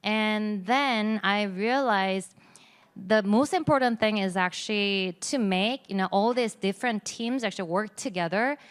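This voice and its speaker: A woman's voice: mid-range voice, a little slow, clear, resonant tone. A woman in her forties with a Korean accent, a game company executive speaking on a conference panel, chatty and full of "you know" and "like". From South Korea. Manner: chatty, many "you know" and "like" fillers, self-deprecating laughs. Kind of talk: panel discussion.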